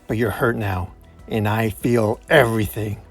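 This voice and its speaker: Man acting aging male assassin, comic-book drama, rough fry, husky, anguished, vengeful, brooding. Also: fairly low pitch, fairly even intonation, unhurried, faint music behind. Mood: brooding grief.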